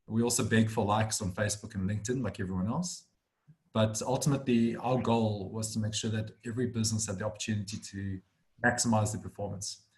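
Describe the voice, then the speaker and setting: A South African man speaks in a low register, telling his story in a fluent, measured way. He sounds like a firm director in his thirties, talking as a guest on a video webinar. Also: steady tone, a little mellow. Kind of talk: webinar conversation.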